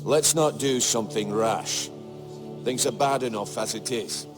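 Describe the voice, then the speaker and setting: An actor playing a cautious, worried counsellor to a noble house in a fantasy game drama, speaking in a measured way. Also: male voice, mid-range voice, over music, lightly acted. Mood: cautioning. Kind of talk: dramatic video game acting.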